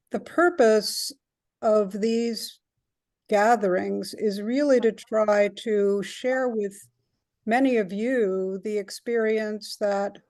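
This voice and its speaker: A woman speaking as a new board chair on a video call, earnest and pausing with fillers. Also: medium pitch, a little mellow, dry recording.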